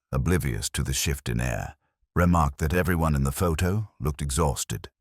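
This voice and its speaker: A man narrating a literary detective story in a measured, formal, melancholy manner. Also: a bass voice, no room sound, studio quality. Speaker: first-person detective narrator of an audio mystery.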